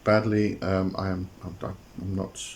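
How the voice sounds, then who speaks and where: Low, flat-voiced man explaining slowly. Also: a little hiss or hum. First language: not a Greek speaker. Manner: hesitant, many ums and repeated words. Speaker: occult channel host. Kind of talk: explanatory monologue to camera.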